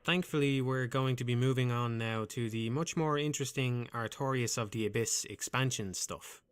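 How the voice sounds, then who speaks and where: A man reading scripted game commentary in a calm, measured, dryly wry way with a soothing voice. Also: medium pitch, clean tone.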